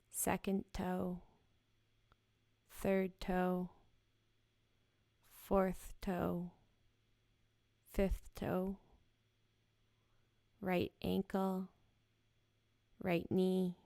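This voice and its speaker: A woman guiding a yoga nidra body scan in a gentle, soothing, unhurried manner with short, spaced phrases. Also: on the low side, monotone, creaky, no echo. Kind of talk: guided meditation, slow body scan.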